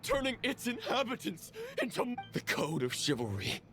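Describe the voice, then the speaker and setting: An actor playing a righteous knight in a video game, declaiming solemnly in archaic, theatrical phrases. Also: male voice, extremely high pitch, wildly swooping pitch, moderate pace, a bit bright, exaggerated voice. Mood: righteous anguish. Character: solemn, archaic male knight, zealous for justice. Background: background music.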